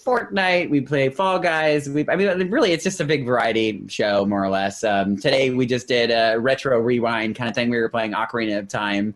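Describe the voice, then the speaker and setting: An American man chatting casually as a podcast co-host, in a goofy, animated and rambling way. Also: mid-range voice, normal speed, hardly any pauses.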